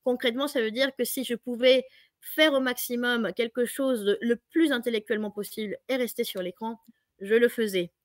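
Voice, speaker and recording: A woman's voice: on the high side, fairly even intonation, normal speed, dry recording. A woman from Mexico with a Mexican Spanish accent, explaining her work as an interview guest. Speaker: interviewed biotech industry professional.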